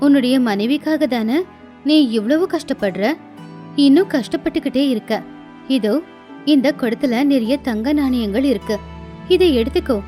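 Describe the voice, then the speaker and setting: A woman voicing a benevolent mother goddess in an animated devotional story, speaking in a gracious, assured and caring manner. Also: sits high, moderate pace, long pauses, soft background music, natural voice. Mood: benevolent, reassuring. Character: benevolent mother goddess, first disguised as graceful lady.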